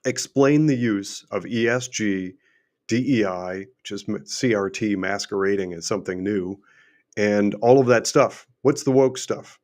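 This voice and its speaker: A middle-aged man hosts a livestream and talks emphatically, asking question after question, and his voice is going hoarse. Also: on the low side, measured pace, darkish tone. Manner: emphatic, repetitive, rhetorical questions. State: losing his voice. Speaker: host and finance adviser. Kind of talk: unscripted livestream monologue.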